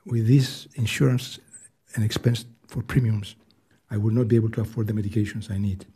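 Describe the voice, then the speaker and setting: Older Greek-accented man, 80, hearing witness; low, hushed, husky voice, halting delivery. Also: steady tone. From Greece. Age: 80s. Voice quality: soft, weak voice. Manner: earnest, halting, with restarts. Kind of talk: reading prepared testimony. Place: hearing room.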